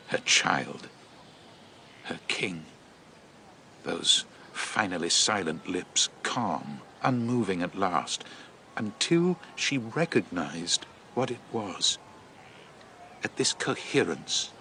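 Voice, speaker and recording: An intense, slow-spoken, shaken messenger. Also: male voice, deep voice, vocal fry, pushed and bright, a little hiss or hum, exaggerated voice. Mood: hushed, stunned. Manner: intense, deliberate, theatrical storytelling. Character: shaken male eyewitness messenger recounting a horror in ancient Greece. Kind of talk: dramatic radio-play monologue.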